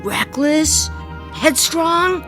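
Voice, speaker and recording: Slow-talking, high-voiced rustic farm wife. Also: female voice, lively intonation, sharp tone, soft background music, heavily acted. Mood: relieved, tender. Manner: folksy, plainspoken, rustic phrasing. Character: stubborn, frightened, injured female alien farm wife with two heads. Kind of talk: suspense radio drama acting.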